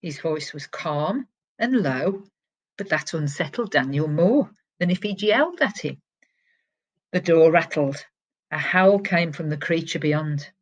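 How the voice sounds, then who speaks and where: A woman, a teacher, reading a chapter of a children's novel aloud with expressive storytelling that drops to a hush at tense moments. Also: low-pitched, a little slow.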